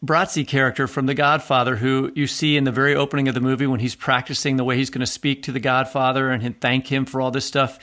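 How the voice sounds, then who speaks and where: Conversational man, mid-pitched podcast voice. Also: little pitch movement, fast talker, hardly any pauses, a bit bright. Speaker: podcast co-host. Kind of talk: casual literary discussion.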